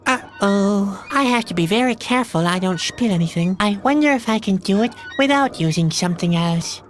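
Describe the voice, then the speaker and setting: An actor playing a gloomy, self-pitying young clown adventurer in a video game, with a deadpan, self-deprecating manner. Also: male voice, sits high, normal speed, slight background noise. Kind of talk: video game character dialogue.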